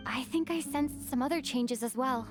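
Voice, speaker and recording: A woman's voice: high-pitched, normal speed, close to an everyday voice. A woman voicing a polite, earnest young female traveler in a video game. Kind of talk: video game character dialogue. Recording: faint music behind the voice.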